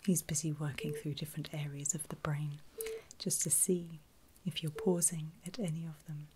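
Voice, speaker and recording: A woman whispering in a breathy, soothing and reassuring way, as a caregiver in an ASMR medical roleplay. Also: low voice, level tone, noisy background. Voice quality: breathy, mostly unvoiced whisper. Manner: soothing, reassuring, slow and deliberate. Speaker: ASMR performer playing a surgical nurse.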